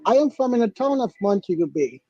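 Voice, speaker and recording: A man's voice: sits high, big pitch swings, brisk, mellow, lo-fi sound. A man from Jamaica with a Jamaican accent, speaking insistently and proudly in a live online debate and repeating his points.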